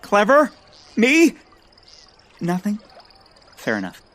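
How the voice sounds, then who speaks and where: An actor playing a naive, cheerful Victorian bird enthusiast with a pompous, chirpy manner in a comedy audio drama. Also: male voice, high voice, wildly swooping pitch, takes it slowly, a bit bright, exaggerated voice. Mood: eager, hopeful. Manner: pompous, chirpy, flowery wording.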